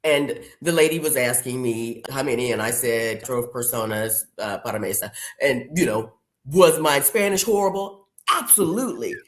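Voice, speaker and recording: Low-voiced chatty woman host, roomy sound. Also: normal speed, hardly any pauses. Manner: chatty storyteller, acts out quotes, says "girl" and "y'all". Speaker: podcast host. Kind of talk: casual conversational interview.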